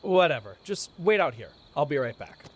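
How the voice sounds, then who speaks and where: A man's voice: on the high side, sing-song, normal speed. A man playing a nonchalant, joking college student in an amateur radio play, speaking dismissively. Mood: casual.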